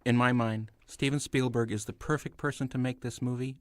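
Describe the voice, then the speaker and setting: A man's voice: mid-range voice, steady tone, a little fast, no room sound. A man giving his opinions as a panelist in a casual podcast discussion.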